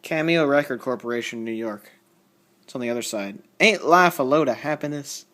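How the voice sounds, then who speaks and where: A man's voice: mid-range voice, normal speed, fairly bright tone. A man giving casual, unscripted show-and-tell commentary, rambling and thinking aloud as he reads labels out. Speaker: record collector showing a haul.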